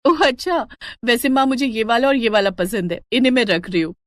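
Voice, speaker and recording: A woman's voice: fairly high pitch, fairly quick, no echo, studio quality, close to an everyday voice. A woman voicing a sweet, obliging young wife in a family story, polite in manner.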